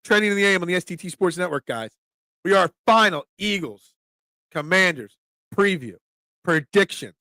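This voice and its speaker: A man's voice: high voice, expressive, normal speed, pushed and bright, no room sound, rough recording. A young man with a Philadelphia accent hosting a casual sports talk stream, chatting loosely and answering viewers by name. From Philadelphia area. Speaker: sports talk show host.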